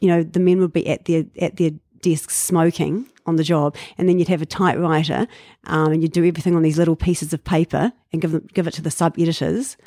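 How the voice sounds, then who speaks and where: A woman's voice: low-pitched, little pitch movement, quick pace, hardly any pauses, dry recording, studio quality. Reflective New Zealand woman in her fifties. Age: 50s. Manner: reflective, warm storytelling with frequent fillers and laughs. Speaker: guest. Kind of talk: casual interview chat.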